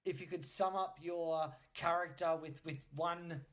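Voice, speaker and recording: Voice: mid-range voice, steady tone, unhurried. Playful, teasing podcast host chatting casually.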